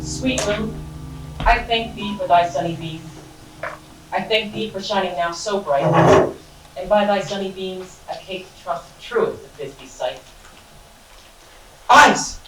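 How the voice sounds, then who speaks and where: A woman's voice: mid-range voice, takes it slowly, long pauses, over loud music, natural voice. A woman voicing Pyramus, a lovesick young hero, declaiming in mock-tragic, overdramatic amateur stage acting. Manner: declaiming, mock-tragic, laughing through lines. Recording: echoey room from far off, faint noise.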